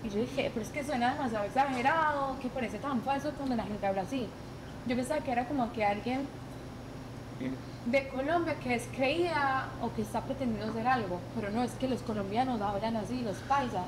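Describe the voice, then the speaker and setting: A woman's voice: fairly high pitch, brisk. A young woman, an acting guest on a podcast, telling stories casually and informally with English words dropped in. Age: young adult. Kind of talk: casual storytelling chat. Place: shop with shelves of goods, indoors. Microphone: podcast mic at table. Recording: echoey, noisy indoor shop.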